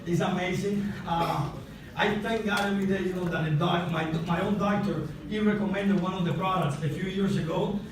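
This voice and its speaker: A man from Cuba, a Spanish speaker, giving an excited, chatty motivational testimonial while out of breath. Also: high voice, normal speed. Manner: excited, chatty, addresses crowd often. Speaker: testimonial speaker at a sales convention. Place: large event hall on stage. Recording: echoey hall, distant mic, noisy.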